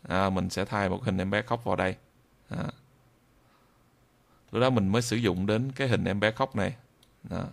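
Low, gravelly man. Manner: hesitant, halting, repeats phrases. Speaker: video tutorial presenter. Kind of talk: unscripted screen-recorded software tutorial.

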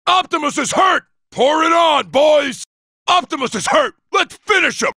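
A man voicing a cocky, aggressive robot soldier for a video game, barking menacing, taunting combat lines in a gravelly, forceful voice. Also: very high-pitched, lively intonation, measured pace, no room sound, clean studio sound, full character voice. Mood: urgent, aggressive.

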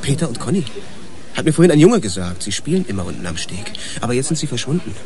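An actor playing an anxious, sharp-tongued man in a German radio crime drama, speaking urgently. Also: medium pitch, big pitch swings, fast talker. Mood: bitter, accusing. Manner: urgent, agitated.

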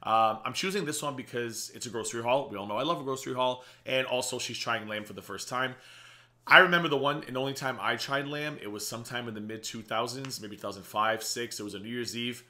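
A man in his thirties hosting a casual reaction video from a small home office, slightly raspy, chatty and sarcastic. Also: mid-range voice, bright, forceful tone. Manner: chatty and sarcastic, quick asides. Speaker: reaction channel host.

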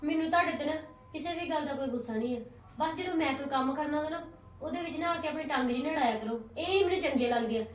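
A woman playing a sharp-tongued, short-tempered sister-in-law. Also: high voice, roomy sound, far from the mic, dull audio, natural voice. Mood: resentful. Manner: sharp, scolding, quick retorts. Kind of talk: family drama skit.

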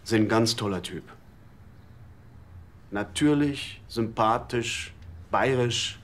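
Slick ad agency boss. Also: male voice, lowish voice, slow, fairly bright tone. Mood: smooth, flattering. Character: slick, indignant, flattering advertising agency boss. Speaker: actor in a TV police comedy.

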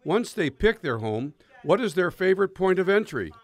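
A man narrating a local news segment in an even, measured reporting style. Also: high-pitched, no echo.

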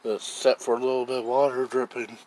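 Man, mid-pitched, casual rambling reviewer, outdoor phone recording with faint background noise. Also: little pitch movement, fairly quick. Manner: rambling, plainspoken, thinks aloud. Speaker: gear reviewer. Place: outdoors on a wooden deck.

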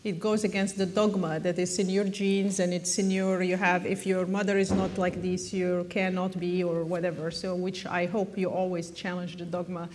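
A woman's voice: fairly low pitch, flat delivery, moderate pace, hardly any pauses, darkish tone, slight background noise. Woman, middle-aged, from Morocco, panel speaker, earnest, encouraging, restarts phrases, echoing hall. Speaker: panelist, biotech executive. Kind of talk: conference panel talk. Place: large hall, conference stage. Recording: long hall reverb.

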